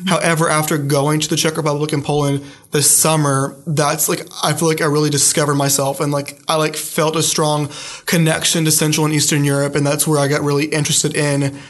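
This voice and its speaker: A young man with a slightly high but flat voice, speaking haltingly and filling pauses with little hesitations. He sounds like a student chatting through an interview, circling back and restarting his thoughts. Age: young adult. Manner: hesitant, many fillers and restarts. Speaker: student guest. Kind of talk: casual interview.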